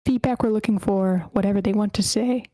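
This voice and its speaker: A woman's voice: mid-range voice, fairly even intonation, brisk, warm tone, no room sound. A woman hosting an online table read, casually announcing and organizing things. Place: online voice meeting.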